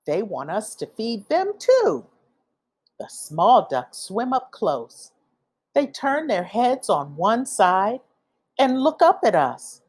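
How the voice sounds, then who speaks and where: A woman reading a children's picture book aloud, with expressive, deliberate phrasing for young listeners. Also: fairly high pitch, breathy edge. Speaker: read-aloud storyteller.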